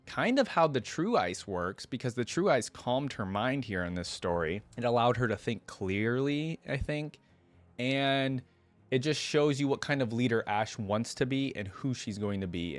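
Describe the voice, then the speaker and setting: A man's voice: mid-range voice, no room sound. A man hosting a reaction video, reading a fantasy story aloud and adding casual, conversational commentary with asides and questions.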